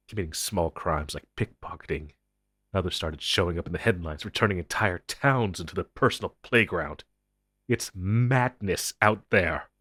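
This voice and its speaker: A man playing a cold, suspicious government agent who interrogates in a deliberate, sardonic way, in a dramatic audio roleplay. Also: low voice, a bit bright, dry recording, clean studio sound, exaggerated voice. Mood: mocking, accusing. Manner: deliberate, cold, sardonic, with sudden emphatic swells.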